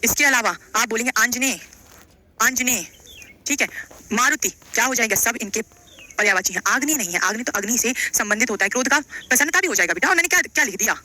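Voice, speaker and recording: Woman, piercingly bright tone, quick pace, exam-coaching teacher, instructive, repeats key phrases, questioning. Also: on the high side, expressive. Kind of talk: live online lesson, explaining quiz questions.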